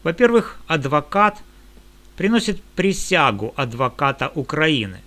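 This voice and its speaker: Bright-voiced indignant male lawyer. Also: fairly high pitch. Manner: measured, indignant, formal legal phrasing. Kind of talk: monologue.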